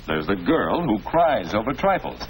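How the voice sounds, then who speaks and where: A fatherly, middle-aged American schoolmaster from a 1940s classroom film. His low voice swings expressively as he patiently explains. Faint noise from the old soundtrack sits under it. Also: sing-song, moderate pace, low-quality recording. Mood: patient, instructive. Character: patient, avuncular male school principal or teacher. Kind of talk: 1940s educational film acting.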